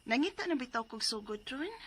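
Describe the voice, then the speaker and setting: A woman's voice: a little high, animated, brisk, a little hiss or hum, close to an everyday voice. An actress playing an earnest single woman in her thirties in a Cebuano Filipino radio drama, narrating and chatting. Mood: chatty. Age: 30s.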